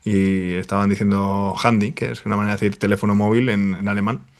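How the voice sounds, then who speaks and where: A man's voice: on the low side, little pitch movement, fast talker, darkish tone. A man on a casual podcast panel, explaining a point with examples.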